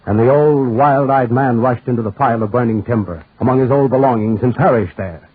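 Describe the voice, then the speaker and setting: A man narrating a 1940s radio drama in a solemn, ominous manner with deliberate pauses. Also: mid-range voice, husky. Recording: tinny, narrow-band 1940s radio recording.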